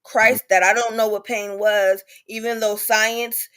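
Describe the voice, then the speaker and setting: A woman's voice: mid-range voice, little pitch movement, a little slow, a bit bright. A woman in her thirties speaks in a candid panel discussion. Her delivery is emphatic, and she often repeats a phrase to drive a point home. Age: 30s. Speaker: patient advocate on a panel.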